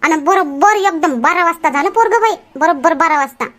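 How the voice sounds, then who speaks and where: A woman's voice: very high-pitched, moderate pace, a bit bright. A woman voicing a chatty, bickering village woman in a comedy cartoon, with a Varhadi Marathi accent and a gossipy, teasing manner. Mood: excited gossip. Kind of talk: homemade comedy cartoon dialogue.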